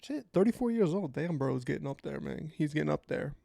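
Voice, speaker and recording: A man hosting a casual reaction video, sounding a little nasal from a cold, chatting in a laid-back way and reading out facts haltingly. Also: on the high side, lively intonation, creaky, dark tone, no echo.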